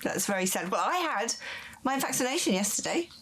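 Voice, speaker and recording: A woman's voice: fairly high pitch, big pitch swings, a little fast, a bit bright, slight background noise. A middle-aged woman hosting a pet show in a chatty, upbeat way, a little tired. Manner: chatty, upbeat, laughs easily. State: tired after a vaccination. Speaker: show host and ferret keeper. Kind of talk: casual presenting to camera.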